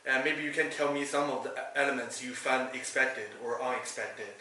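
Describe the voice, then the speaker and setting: A male interviewer with a bright, forward voice puts questions informally and a little haltingly. He sounds distant from the mic in a very echoey room, with faint noise around him. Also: mid-range voice, fairly even intonation.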